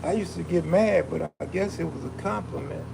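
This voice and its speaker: A man's voice: on the high side, fairly quick, gravelly, background noise. A man hosting a casual live stream, chatting in a laid-back, slangy, streetwise way with a soothing, soulful voice and reading comments aloud.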